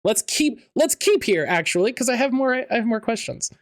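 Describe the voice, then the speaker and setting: A man's voice: very high voice, lively intonation, brisk. A man hosting a podcast interview, rambling with lots of fillers and restarts. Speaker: podcast host.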